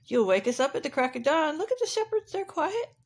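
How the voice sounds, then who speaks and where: Woman as a hushed, protective storybook cow. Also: high voice, very animated, brisk, voice pushed up. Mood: warning, shushing. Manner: hushed, shushing, gently scolding.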